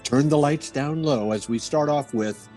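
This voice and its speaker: A man delivering spooky scripted radio narration as announcer, in a dramatic, measured way. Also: fairly high pitch, a little mellow, faint music behind.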